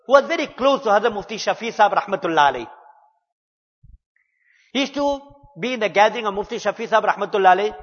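A man delivers a measured, earnest religious talk in a high voice. His words ring out with a long hall echo. The sound is dull and muffled. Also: a bit bright, breathy edge. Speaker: Islamic preacher.

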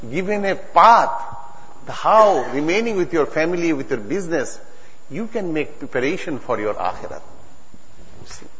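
Bangladeshi man preaching in a reverberant hall. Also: fairly high pitch. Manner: earnest, halting, emphatic, repeating phrases in non-native English. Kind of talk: religious sermon to a congregation. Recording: dull recording in a long-reverb hall.

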